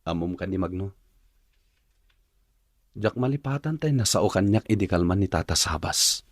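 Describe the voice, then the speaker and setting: Husky actor as a lovestruck Ilocano man. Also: low voice, expressive, moderate pace, no room sound. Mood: puzzled, defensive. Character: lovestruck, defensive man in love with a separated woman. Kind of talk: Ilocano radio drama acting.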